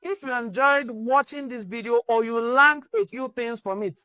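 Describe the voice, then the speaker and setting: A woman's voice: on the high side, normal speed, bright, forceful tone, no room sound, studio quality. A Nigerian woman hosting a cultural channel, delivering an impassioned address built on repeated rallying calls. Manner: rallying, repetitive exhortations.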